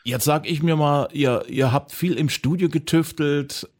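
A man's voice: on the high side, unhurried. A middle-aged man from East Germany hosting a podcast interview, chatty and enthusiastic as he reminisces. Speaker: podcast host. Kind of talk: casual interview chat.

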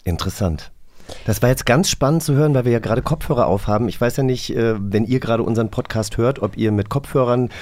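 Male podcast host, medium pitch, conversational. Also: fast, darkish tone. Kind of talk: casual interview moderation.

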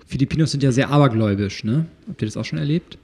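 A man's voice: mid-range voice, fast talker, darkish tone, breathy edge. A man hosting a casual podcast, asking his guests loose, chatty questions. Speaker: podcast host.

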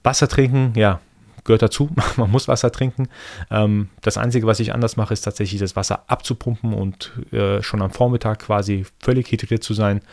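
A man from Iran whose first language is Persian, hosting a casual solo podcast and thinking aloud in a relaxed way. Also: lowish voice, fairly quick, gravelly. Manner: relaxed, thinking aloud with restarts and soft laughs. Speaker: podcast host.

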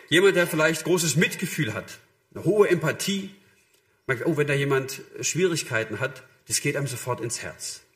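A man with a slightly high voice delivers a sermon as a preacher. He speaks conversationally and directly to his listeners, illustrating points with short imagined quotes. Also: a bit bright.

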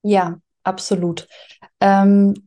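A woman's voice: mid-range voice, flat delivery, very slow, dark tone. A woman explaining things conversationally as an interview guest and author.

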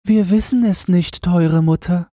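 A man's voice: high voice, a little slow, warm tone, no echo, clean studio sound, pitched above the performer's own voice. A man voicing a sad young royal child from a fairy tale, innocent and plaintive. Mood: sad, puzzled.

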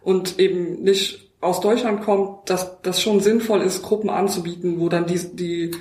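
A man's voice: sits high, fairly even intonation, normal speed, darkish tone. A man hosting a conversational interview, attentively checking what he has understood and giving brief affirmations. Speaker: podcast host, interviewer. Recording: echoey room with background noise.

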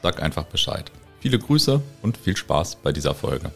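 A man's voice: rumbling low, moderate pace, creaky, loud music behind the voice. A man in his thirties with a cold, hosting a casual podcast interview in German and laughing easily. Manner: relaxed, chatty, laughs often. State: has a cold. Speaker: podcast host.

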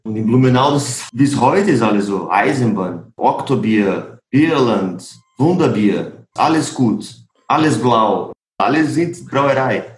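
A Brazilian man with a Portuguese accent, a maltster guest in a casual interview, speaking German he taught himself in simple, unhurried phrases. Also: mid-range voice, animated. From Brazil, Santa Catarina. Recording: roomy echo.

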